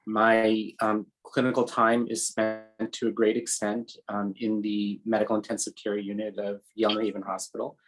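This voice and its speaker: A man in his fifties from New York answers interview questions as a physician. His low voice stays flat and measured. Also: soft background music, poor audio. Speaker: physician guest.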